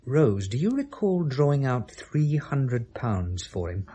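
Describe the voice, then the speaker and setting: British male, 1970s radio play: defensive, insistent photographer, animated delivery, mid-pitched voice. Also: slow pace, slightly rough, full character voice. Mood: quiet, probing. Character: proud, defensive male picture-postcard photographer under questioning.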